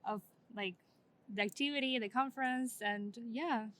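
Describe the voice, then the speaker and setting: Woman, higher voice, soft-spoken, a little hesitant. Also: normal speed. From Puerto Rico. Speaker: medical resident. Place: convention center floor.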